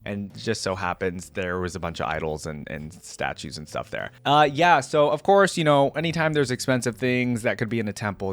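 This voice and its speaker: A man hosting a reaction video, switching between dramatic story reading and casual commentary. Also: mid-range voice.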